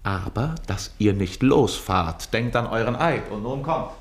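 An actor in a German radio play playing a cunning, brazen servant, roguish and mocking, with a sly theatrical delivery. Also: male voice, on the low side, lively intonation, unhurried. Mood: sly, persuasive.

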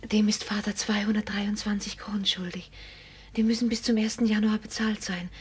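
An actress playing a timid, naive young country girl in a 1950s German radio play, meek, breathy and anxious, on a noisy old recording. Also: mid-range voice, flat delivery, normal speed. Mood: anxious, hopeful.

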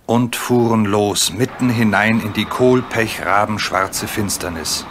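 Low-voiced man narrating a children's story. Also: unhurried. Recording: faint background noise.

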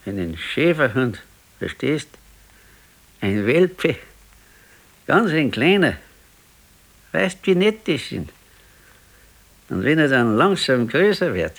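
A man's voice: mid-range voice, sing-song, takes it slowly, pauses often, full character voice. An actor playing a sickly, wistful grandfather in his sixties in a radio play, musing in short colloquial phrases with a Bavarian accent. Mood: wistful, eager. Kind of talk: naturalistic radio play dialogue.

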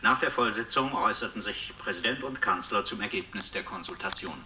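A man's voice: fairly low pitch, a little fast, natural voice. A man playing a television news correspondent, reading a formal, factual scripted report. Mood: sober, factual. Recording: noisy, distant television sound.

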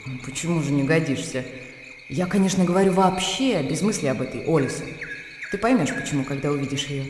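An actress in a radio play playing a composed, worldly widow who speaks in a measured, persuasive way. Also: female voice, deep voice, expressive. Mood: reflective, persuasive. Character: composed, worldly young widow, a landowner's self-assured sister. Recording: echoey room with music behind.

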